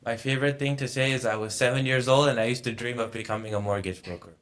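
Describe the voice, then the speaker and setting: A man in his late twenties from Malaysia, a mortgage advisor talking as a guest in a relaxed, reflective way. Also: medium pitch, fast talker. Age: late 20s. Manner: thoughtful, reflective, easygoing storytelling. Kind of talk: casual interview conversation.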